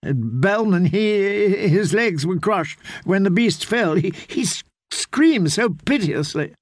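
A man's voice: high voice, lively intonation, takes it slowly, no echo, clean studio sound, pitched above the performer's own voice, heavily acted. A man voicing a distraught noblewoman who stammers through tears in a quavering voice. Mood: tearful, stammering. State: crying.

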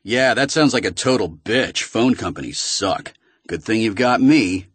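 A man's voice: fairly low pitch, expressive, measured pace, no echo. A man voicing a young woman on the phone, breezy and teasing.

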